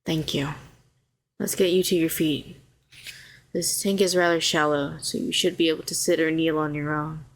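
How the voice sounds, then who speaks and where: A woman performs a telepathic villainess in an intimate audio drama. She is a fallen hero with a low voice, sardonic on the surface and protective underneath. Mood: guarded, wry, then calm, instructive. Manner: sharp and sardonic, turning soothing and coaxing. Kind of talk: intimate scripted audio roleplay.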